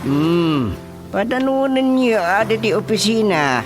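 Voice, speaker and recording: A man's voice: high-pitched, lively intonation, takes it slowly, music in the background. A man with an Ilocano accent playing a nosy, exclamatory village chairman in a radio comedy drama. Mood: curious. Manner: exclamatory, stuttering repeated interjections.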